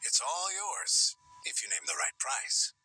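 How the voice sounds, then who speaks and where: An actor voicing a scheming male merchant in a game, smooth and wheedling. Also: mid-range voice, huge pitch swings, a little slow, pushed and bright, full character voice, rough recording. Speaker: game character. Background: game music.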